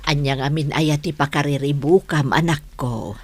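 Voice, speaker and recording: A woman's voice: low voice, normal speed. A woman playing a caring, soothing mother of a grown son in a Filipino radio drama, with an Ilocano accent. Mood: concerned. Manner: soothing, concerned.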